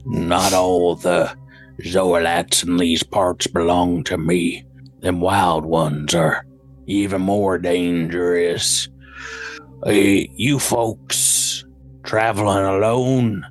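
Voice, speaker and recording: A gruff old ranch woman, voiced by a man in a tabletop game, with a slow folksy drawl. The voice sits very low and moves slowly. There is faint music behind. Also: steady tone. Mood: wary.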